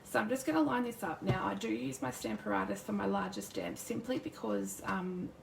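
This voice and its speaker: A woman with a croaky sore throat chatting casually and rambling as she demonstrates crafting live, greeting viewers by name. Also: mid-range voice, fairly quick, noisy background. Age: adult with a son. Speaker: crafting demonstrator, youth worker. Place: home craft desk. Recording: roomy distant mic at a craft desk.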